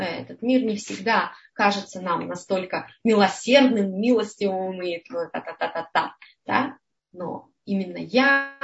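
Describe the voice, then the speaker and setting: A woman's voice: mid-range voice, lo-fi sound. Woman teaching a religious class. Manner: explanatory, often checks in with "да?". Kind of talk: lecture with slides.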